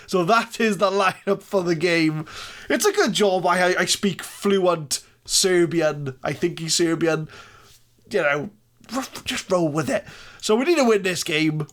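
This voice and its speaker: A man's voice: high voice, fairly bright tone. A man giving casual, jokey, self-mocking commentary over a football management game. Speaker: gaming YouTuber. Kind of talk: casual Let's Play commentary.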